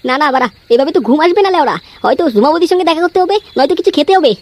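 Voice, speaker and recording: A woman's voice: sits high, expressive, racing, a little mellow, dry recording. A woman voicing a crude, hot-tempered young village man in a comic cartoon, grumbling and swearing constantly. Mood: hungry, frustrated. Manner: foul-mouthed grumbling, peppered with swearing. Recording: faint background noise.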